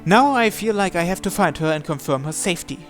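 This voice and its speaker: A man's voice: high voice, lively intonation, quick pace, faint music behind. A man hosting a visual novel playthrough, reading the first-person narration aloud.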